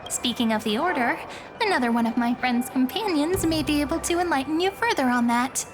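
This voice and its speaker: Game role: gentle young female storyteller, fairly high, measured, with clear background music. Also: lively intonation. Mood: earnest, gentle. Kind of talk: video game story dialogue.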